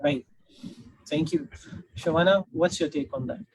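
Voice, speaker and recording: A man with a high-pitched voice moderates a discussion conversationally. The sound is dry and close, with no room echo, as he puts questions to panelists. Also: a little slow, a little mellow, husky.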